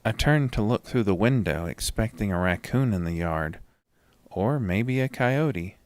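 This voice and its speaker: A man narrating a scripted first-person horror story as a dramatic, measured storyteller. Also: on the low side, lively intonation, no room sound.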